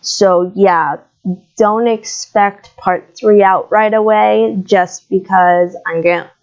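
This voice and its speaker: Slow-talking young woman, casual update. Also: mid-range voice, fairly even intonation, darkish tone, lo-fi sound. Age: young adult. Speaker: video creator and comic dubber. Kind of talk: casual chat update to viewers.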